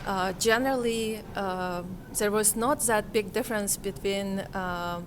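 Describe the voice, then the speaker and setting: Woman giving interview answers, slow n hesitant, searching for words, bit of background noise. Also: medium pitch. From Kazakhstan, Central Asia. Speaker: interviewee, conference participant.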